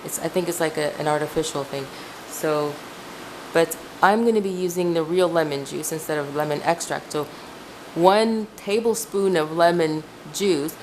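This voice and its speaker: Woman from Ecuador, Spanish native, fairly low voice, casual rambling kitchen vlogger, camera mic with faint hiss. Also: poor audio. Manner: chatty, rambling, restarts and self-corrections. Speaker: home cooking vlogger. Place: home kitchen. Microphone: camera mic, speaker off screen.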